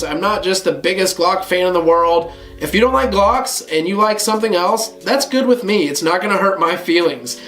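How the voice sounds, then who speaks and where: High-voiced man, a bit hoarse. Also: echoey room. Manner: relaxed, conversational, addresses viewers as guys. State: slightly hoarse from a cold. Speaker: gun channel host. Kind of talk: casual product review vlog.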